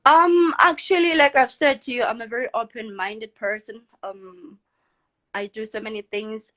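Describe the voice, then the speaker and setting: Young woman, kinda high voice, confident and chatty, laughs easy. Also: normal speed. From Lesotho. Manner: confident, expressive, laughs often. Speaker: guest, model and entrepreneur. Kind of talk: casual interview answers.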